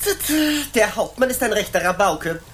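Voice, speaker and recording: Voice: mid-range voice, lively intonation, normal speed, a bit bright, slight background noise, natural voice. An actor playing a teasing court fool who talks in singsong rhymes in a radio play. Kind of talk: German radio play acting.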